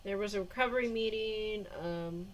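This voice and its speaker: A woman's voice: medium pitch, moderate pace, highs cut off, slight background noise. A woman in her forties talking in a casual diary vlog, rambling with many fillers and frequent laughs, recorded indoors on a phone or camera mic. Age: 40s. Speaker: vlogger.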